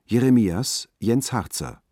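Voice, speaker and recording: A male announcer reads the credits formally and very slowly. His voice is very deep with a husky edge. The sound is dry and close. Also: lively intonation, dark tone, no echo. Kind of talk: reading the cast list.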